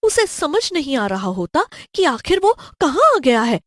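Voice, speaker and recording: A woman's voice: high voice, big pitch swings, a little slow, no echo. A woman narrating a scripted horror story as a storyteller.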